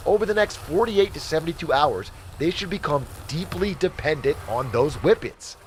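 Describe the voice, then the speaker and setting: A man's voice: fairly high pitch, animated, moderate pace, a little hiss or hum, natural voice, poor audio. An actor playing a shady, slick finance guru in a video game, with a glib, showy salesman's delivery. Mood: conspiratorial, gleeful. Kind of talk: game voice acting, hosted advice show patter.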